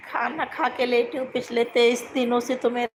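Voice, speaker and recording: A hoarse woman with a cold, chatting casually on her live stream from home while lying down, and repeatedly asking viewers to comment. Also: fairly high pitch, steady tone, normal speed, slight background noise. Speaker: YouTube folk singer hosting a live stream.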